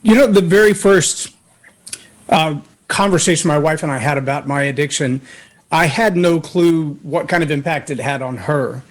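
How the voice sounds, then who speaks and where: A man guesting on a talk show, telling a personal story in an earnest, anecdotal way, with a voice that can boom when he raises it. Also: moderate pace. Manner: earnest, anecdotal, acts out others' words. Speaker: guest speaker.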